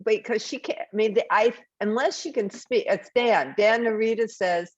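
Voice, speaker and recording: A woman's voice: a little high, normal speed, no echo, muffled recording. A woman moderating a panel in an informal, conversational way.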